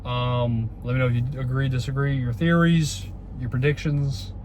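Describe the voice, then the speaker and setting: A young man talks through a stuffy, congested nose, firing off rhetorical questions in a loose, excitable ramble. His voice sits at an ordinary male pitch. A faint wash of street and car noise runs under him. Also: normal speed. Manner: excitable, repeats rhetorical questions, many fillers. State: congested from a cold. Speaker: wrestling vlogger. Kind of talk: casual solo opinion vlog.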